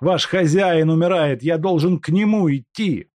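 A man voicing an indignant clerk who protests loudly against being seized. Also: a little high, moderate pace, no room sound, pitched above the performer's own voice, natural voice. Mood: outraged. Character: indignant male clerk, outraged and desperate.

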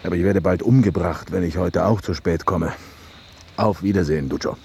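An actor playing a gruff, wry veterinarian in his late fifties who talks in aphorisms, in a radio drama. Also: deep voice, brisk, a little mellow, slight background noise. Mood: wry, reflective. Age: 50s. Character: gruff, wry, philosophical veterinarian aged fifty-nine.

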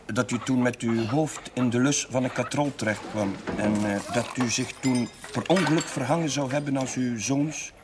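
An actor playing a troubled, polite village chaplain in a television period drama, speaking formally and hesitantly. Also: male voice, mid-range voice, normal speed, hardly any pauses, close to an everyday voice. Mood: careful, pleading. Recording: noisy old television soundtrack.

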